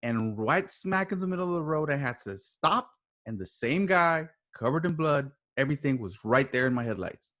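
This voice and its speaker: A man speaking as a podcast guest, casually telling a story with repeated phrases and halting starts, acting out the quoted dialogue. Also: on the high side, expressive, a bit bright. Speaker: podcast guest, writer and voice actor.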